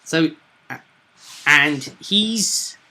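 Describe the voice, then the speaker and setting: Bright, higher-voiced man recapping a tabletop game. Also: lively intonation, extremely slow. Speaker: game master.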